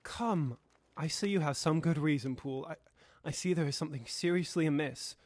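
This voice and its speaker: A student actor playing a proper, anxious gentleman lawyer in a radio drama, a man speaking formally and haltingly. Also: on the high side, no echo, lightly acted. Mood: calm, coaxing.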